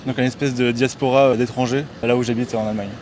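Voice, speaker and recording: Homme au débit rapide. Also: male voice, mid-range voice, fairly even intonation, noisy background. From France. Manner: quick, explanatory. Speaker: passer-by. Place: city street outdoors.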